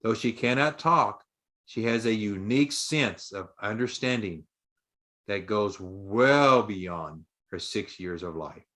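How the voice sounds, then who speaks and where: Slow, low-voiced male audiobook narrator. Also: pushed and bright. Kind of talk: scripted story narration, read aloud.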